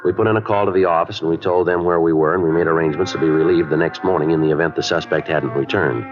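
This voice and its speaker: A man acting a stoic, terse police detective in an old-time radio drama, matter-of-fact and deadpan. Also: low voice, fast talker, lightly acted. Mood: flat narration. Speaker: lead actor and narrator of a detective series. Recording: dull vintage radio broadcast with music.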